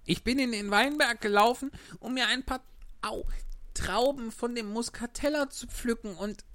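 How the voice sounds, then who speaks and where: A woman with a very low voice putting on a high, whimpering voice for a pleading wooden puppet boy. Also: moderate pace, a bit bright, close to an everyday voice. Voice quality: high squeaky childlike voice.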